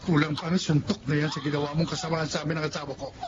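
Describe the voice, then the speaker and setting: Higher-pitched, intense betrayed Filipino husband, acted by man. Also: little pitch movement, racing, muffled recording, background noise, lightly acted. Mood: furious. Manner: intense, accusing, dramatic. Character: enraged, betrayed young husband, unforgiving. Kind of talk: Filipino radio drama acting.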